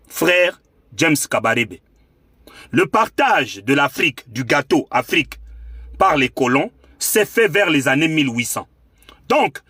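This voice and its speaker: A man's voice: on the high side, pushed and bright, slightly rough, no echo, clean studio sound. A man with a Congolese accent delivering an impassioned political monologue as a commentator, emphatic and rhetorical, repeating phrases for effect. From Democratic Republic of the Congo.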